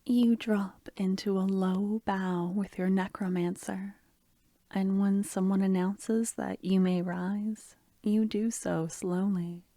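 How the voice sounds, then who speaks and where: A woman narrating softly as an ASMR storyteller, half-whispering in a breathy, gentle, soothing way. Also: mid-range voice, flat delivery, slow, dry recording. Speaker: ASMR storyteller, channel host.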